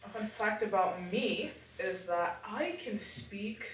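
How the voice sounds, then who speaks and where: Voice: medium pitch, takes it slowly. An upbeat young adult from Ottawa giving a cheerful introduction. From Ottawa, Canada. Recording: distant, echoey, very noisy room.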